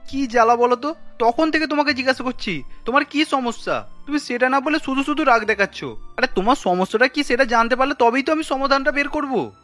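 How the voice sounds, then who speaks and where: A man's voice: extremely high pitch, fairly quick, sharp tone, faint music behind. Easygoing young Bengali cartoon husband played by a man. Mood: puzzled, exasperated. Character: young Bengali husband, easygoing office worker, easily exasperated. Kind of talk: Bengali family cartoon voice acting.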